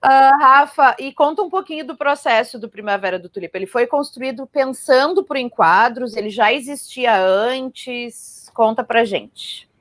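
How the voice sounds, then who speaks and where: High-voiced Brazilian woman hosting. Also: normal speed, few pauses, fairly bright tone, dry recording. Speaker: programme host and interviewer. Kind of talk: conversational live arts programme presenting.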